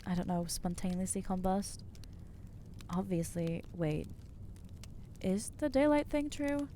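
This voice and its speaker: A woman playing an anxious, newly turned vampire in an ASMR roleplay, speaking in a hesitant, earnest, halting way with gentle inner conflict. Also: on the low side, a little hiss or hum. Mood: wry, uneasy. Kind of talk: ASMR roleplay acting, intimate monologue.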